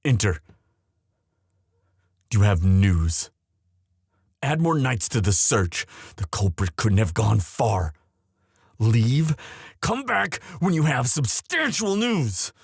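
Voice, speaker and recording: A man acts out a young prince, devoted and prone to worry, in a romantic audio roleplay. His delivery is intimate and unhurried, swinging widely in pitch with feeling. The voice has a breathy, husky edge. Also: sing-song, no echo, clean studio sound, exaggerated voice. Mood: cold, commanding. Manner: intimate, halting, emotional. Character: young prince, tender, devoted, anxious lover.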